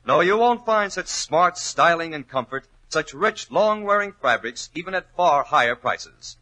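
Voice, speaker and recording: A man's voice: a little high, animated, a little slow, sharp tone, poor audio. A man with an American accent announcing in scripted 1940s radio style, polished and emphatic. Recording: old 1940s radio recording.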